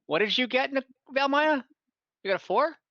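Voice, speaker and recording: Bright-voiced man running a tabletop game. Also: extremely high pitch, lively intonation, moderate pace, dry recording, dull audio. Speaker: game master.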